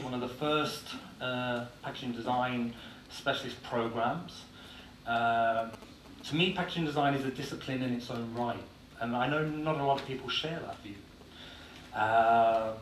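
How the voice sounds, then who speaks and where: A man's voice: medium pitch, fairly bright tone. An Irish-born man with an English accent giving a blunt, informal conference talk with frequent filler words. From Ireland. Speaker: conference speaker. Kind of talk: conference presentation. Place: conference hall. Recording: echoey hall, distant mic, faint noise.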